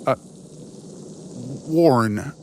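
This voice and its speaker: A man voicing an anxious, grieving bug catcher in audio fiction, wistful, unsure and halting. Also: mid-range voice, a little hiss or hum, pitched above the performer's own voice. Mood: hesitant, guilty. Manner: halting, tentative. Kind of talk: audio fiction character acting.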